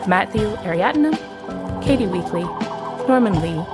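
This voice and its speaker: A woman's voice: medium pitch, lively intonation, unhurried. A woman announcer reading scripted credits for an audio production. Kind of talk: scripted narration. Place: studio. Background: loud music.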